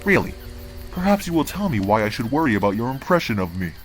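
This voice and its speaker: A man's voice: medium pitch, very animated, fast, soft background music, close to an everyday voice. A man playing a cold, disdainful council envoy, speaking formally and condescendingly in a comic dub. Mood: haughty. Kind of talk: amateur comic dub acting.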